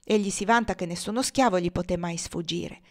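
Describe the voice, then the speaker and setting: A woman reading an audiobook, voicing a grim, determined fugitive woman who speaks calmly and low. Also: fairly quick, natural voice. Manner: calm, low, steady. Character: grim, resolute woman fugitive, cold and calm.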